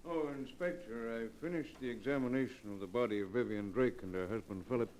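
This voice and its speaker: An actor playing a calm, exacting county coroner in a vintage radio drama, speaking deliberately. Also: male voice, medium pitch, close to an everyday voice, rough recording. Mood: measured. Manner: deliberate, measured. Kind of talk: 1940s radio acting.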